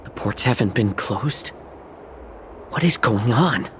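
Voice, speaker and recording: An actor playing a guarded young fox-folk healer in a video game, speaking in a measured, restrained, defiant way. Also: male voice, mid-range voice, lively intonation, slight background noise. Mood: guarded, resolute. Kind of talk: video game drama acting. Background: music.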